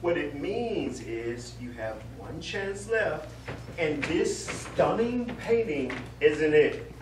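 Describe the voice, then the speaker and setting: Male actor as grumbling, sarcastic husband in a stage play. Animated inflection, echoey room, recorded from afar. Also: fairly high pitch, takes it slowly. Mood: exasperated. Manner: dry, exasperated. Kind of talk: live stage play acting. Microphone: far camera. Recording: echoey stage, distant mic, noisy.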